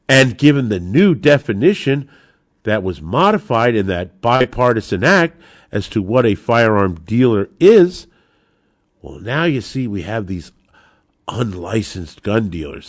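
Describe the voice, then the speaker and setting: A man delivers opinionated commentary as a podcast host, his pitch swinging widely as he drives points home. He sounds indignant and insistent, asking rhetorical questions and repeating key phrases. The sound is dry and close, with very little room in it. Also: no room sound. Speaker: host of a gun-rights podcast. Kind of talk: opinionated political commentary, addressed straight to listeners.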